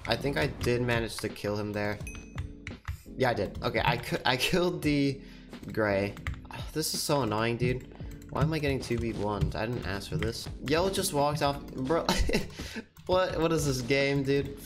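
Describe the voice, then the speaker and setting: A teenage boy with a stuffy nose from a cold, chatting casually and cheerfully while commentating his gameplay at a desk microphone. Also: mid-range voice. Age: teens. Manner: chatty, upbeat, quick laughs. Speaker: gaming YouTuber. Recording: music playing under the voice. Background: background music and keyboard typing.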